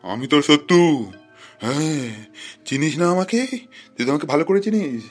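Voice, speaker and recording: A man voicing a vengeful male ghost in an older man's body, with drawn-out, menacing threats, for a comic horror cartoon. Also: a little high, animated, faint music behind.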